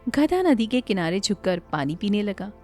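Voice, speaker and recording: A woman's voice: mid-range voice, big pitch swings, normal speed, darkish tone. A woman narrating a scripted moral story in a dramatic storytelling style. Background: faint music.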